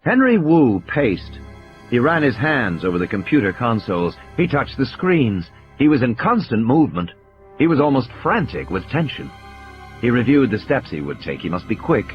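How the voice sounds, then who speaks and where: A man's voice: medium pitch, sing-song, normal speed, lo-fi sound. A man reading dramatic, scripted audiobook narration as the narrator of a story. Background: music bed.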